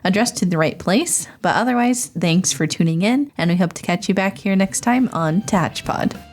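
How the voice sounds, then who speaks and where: An American woman hosting a casual conversational interview, chatty and quick to laugh. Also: medium pitch, darkish tone, soft background music. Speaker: podcast host.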